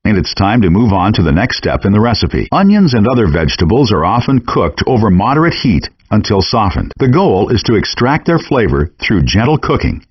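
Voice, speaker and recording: A man narrates instructions for a cooking game in a fairly low, slightly husky voice. His intonation moves up and down a lot while the delivery stays measured and instructional. The sound is dull and compressed, like handheld game audio. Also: sing-song.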